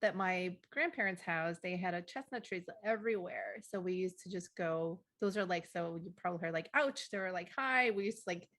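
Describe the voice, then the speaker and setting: A woman leading an informal group listening session, conversational and halting as she searches for words. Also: on the low side, fairly bright tone. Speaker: facilitator of a deep listening session.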